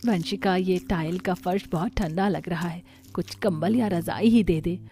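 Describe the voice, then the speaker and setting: A woman's voice: lowish voice, moderate pace, mellow, natural voice. A woman voicing a meek, hurt, poor elderly mother in a cartoon story, pleading and plaintive. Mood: meek, complaining. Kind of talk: Hindi cartoon story dialogue.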